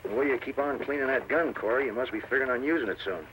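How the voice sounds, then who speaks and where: Male actor as sly cowboy henchman, radio western, folksy, glib, rapid-fire delivery, forceful bright tone, vintage recording. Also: medium pitch, natural voice. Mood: wry. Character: sly, willing outlaw henchman, frontier gunman. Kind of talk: old-time radio western acting. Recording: faintly noisy old radio recording.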